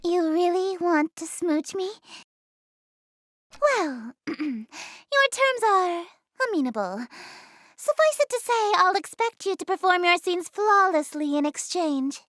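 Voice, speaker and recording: A woman's voice: extremely high pitch, animated, slow pace, fairly bright tone, no room sound, clean studio sound, exaggerated voice. A woman voicing a haughty, easily flustered eldritch goddess in an anime-style game, speaking in a theatrical, formal, imperious way. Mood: flustered, shy. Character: haughty, easily flustered regal eldritch goddess, cute young-sounding female. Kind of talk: anime-style visual novel game voice acting.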